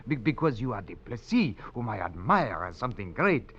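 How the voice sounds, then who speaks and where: An actor playing an ingratiating, cowardly perfume seller, nervous and flattering, in a 1940s radio drama. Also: male voice, medium pitch, brisk. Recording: dull vintage radio recording.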